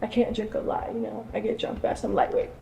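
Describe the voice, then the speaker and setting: A woman's voice: mid-range voice, completely flat delivery, a little fast, creaky, warm tone, slight background noise. A young adult woman in casual, informal conversation. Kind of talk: casual chat.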